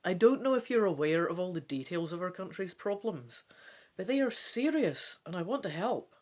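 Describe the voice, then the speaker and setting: A woman reads as an earnest young fantasy adventurer of royal birth. The delivery is emphatic and declamatory, with a lively, wide-moving pitch and a determined, easily indignant temper. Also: normal speed. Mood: earnest, urgent. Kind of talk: dramatic gamebook reading.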